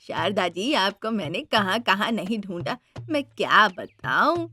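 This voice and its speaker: A woman's voice: medium pitch, sing-song, unhurried, pushed and bright, husky, voice pushed down, exaggerated voice. A woman voicing an ingratiating male village priest and matchmaker in a cartoon story.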